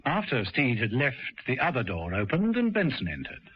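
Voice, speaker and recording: A man narrating a radio drama in a measured storytelling manner. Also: medium pitch, wildly swooping pitch, slight background noise. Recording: dull lo-fi radio broadcast.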